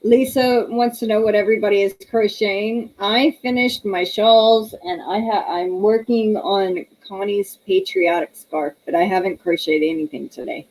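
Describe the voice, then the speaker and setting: A woman's voice: medium pitch, fairly even intonation, normal speed, few pauses, darkish tone, poor audio. A woman, hosting a casual livestream, chatty and laughing often as she reads viewer comments aloud. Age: 30s. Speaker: livestream host.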